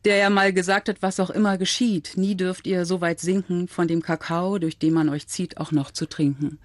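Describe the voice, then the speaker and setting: A woman's voice: fairly low pitch, steady tone, moderate pace, dry recording. A woman coach and author, a studio guest on a radio show, explaining things in a thoughtful way. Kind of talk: radio interview. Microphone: studio mic.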